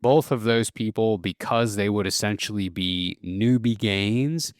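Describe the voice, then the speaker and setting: A man hosting a solo podcast, explaining at a relaxed pace with casual fillers and self-corrections. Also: on the low side, unhurried, no echo, studio quality. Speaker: podcast host.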